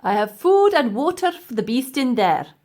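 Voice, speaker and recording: A woman voicing a cold-hearted, gloating old male professor in a children's audiobook, with pompous, emphatic, drawn-out delivery. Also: high-pitched, big pitch swings, a little mellow, voice pushed up. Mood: proud, insistent. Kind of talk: children's audiobook character acting.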